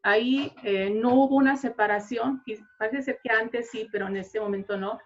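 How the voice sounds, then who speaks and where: A woman's voice: a little high, moderate pace, dull audio. A woman teacher speaking conversationally at an academic panel, explaining things through personal anecdotes. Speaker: Spanish teacher.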